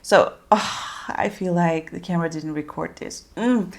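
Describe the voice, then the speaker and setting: Chatty Dutch-accented young woman, gravelly. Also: lowish voice, unhurried. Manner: chatty, rambling with many fillers. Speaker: beauty vlogger. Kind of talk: casual makeup tutorial chat.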